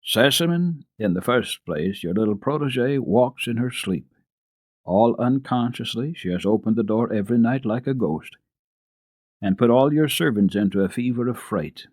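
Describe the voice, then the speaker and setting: An earnest family doctor, read by a man, speaking in a middle-pitched voice. He is grave, firm and deliberate, stressing his advice in a dry storybook recording. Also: animated, a little mellow, no room sound, lightly acted. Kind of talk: dramatic storybook reading.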